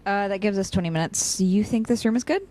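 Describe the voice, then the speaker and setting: A young woman playing a dry, cynical, practical operative in an audio drama, with a deadpan, sardonic way of talking. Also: mid-range voice, brisk, natural voice. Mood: wry, impatient. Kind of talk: audio drama dialogue and log entries.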